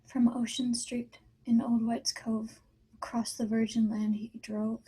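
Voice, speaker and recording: An actress plays a frightened, determined mother in a screenplay read. Her voice sits fairly high and is very breathy. She sounds like a woman under threat. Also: little pitch movement, unhurried, warm tone, rough recording. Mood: hushed, wondering. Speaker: actor in a screenplay table read. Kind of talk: dramatic screenplay reading.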